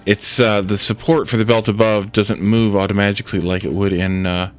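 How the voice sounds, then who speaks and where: A man with a somewhat low voice and a stuffy, congested sound, talking through a factory game as he builds. He thinks aloud and mutters to himself in an easy, casual way, while faint game music plays underneath. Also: flat delivery, brisk. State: congested from a head cold. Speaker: streamer. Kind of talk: casual let's-play commentary.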